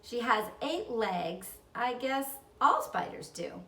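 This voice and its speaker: A woman's voice: a little high, big pitch swings, slow pace, pushed and bright, roomy sound, recorded from a distance, exaggerated voice. A woman voicing a chatty, earnest young girl in a storybook, speaking eagerly and matter-of-factly.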